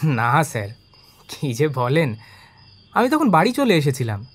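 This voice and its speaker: An actor in an audio drama playing an eager, deferential small-time private investigator who earnestly defends himself to the police. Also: male voice, a little high, big pitch swings, measured pace. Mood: eager, defensive.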